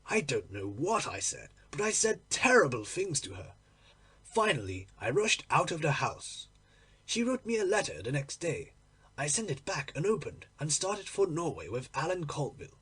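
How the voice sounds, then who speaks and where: A man reading a literary story aloud in an expressive, theatrical manner. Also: fairly high pitch, normal speed, breathy edge. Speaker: audiobook reader. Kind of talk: dramatic literary story narration.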